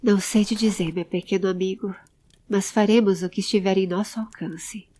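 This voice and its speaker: A woman voicing a serious, pensive male adventurer who keeps calm and gives instructions in an audio drama. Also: medium pitch, moderate pace, lightly acted. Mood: steady, reassuring. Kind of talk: audio drama character acting.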